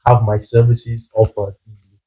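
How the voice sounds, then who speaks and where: A man from the Brazil–Paraguay–Argentina border region, a guest on a podcast, talking in a relaxed, repetitive way. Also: medium pitch, normal speed, breathy edge, low-quality recording. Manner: relaxed, repeats phrases, agreeable. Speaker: guest, content creator and language teacher. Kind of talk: casual interview.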